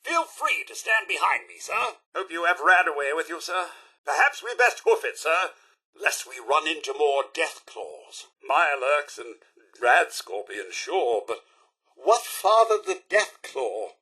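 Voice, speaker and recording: A bright, slightly husky British robot butler from a video game. He is prim and servile yet wry and fussy, and his formal lines swing widely in pitch. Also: sing-song, a little slow, heavily acted. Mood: chipper, cautious. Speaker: game character voice actor.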